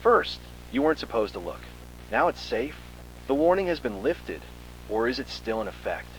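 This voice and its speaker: A man's voice: medium pitch, sing-song, normal speed, a bit bright, a little hiss or hum, low-quality recording. A man reading a scripted second-person horror story in a dramatic, suspenseful manner.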